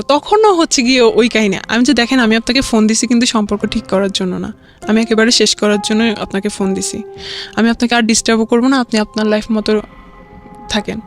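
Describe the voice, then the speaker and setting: A young adult woman with a Bangladeshi accent tells a personal story as an interview guest, rambling and halting, often repeating filler phrases. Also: fairly high pitch, steady tone, soft background music. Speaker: guest telling own life story.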